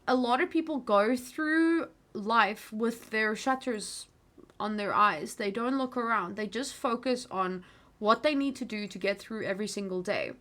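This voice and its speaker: A woman's voice: a little high, measured pace, a bit bright. A woman from South Africa, Afrikaans by birth, with an American accent, hosting a casual livestream in a rambling, easily sidetracked way. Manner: rambling, easily sidetracked, chatty with frequent fillers. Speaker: livestream host.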